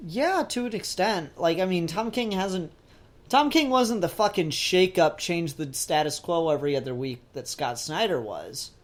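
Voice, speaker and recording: A man's voice: a little high, expressive, normal speed. A man co-hosting a podcast, talking casually and informally about pop culture. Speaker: podcast co-host. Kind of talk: casual discussion of comics and TV.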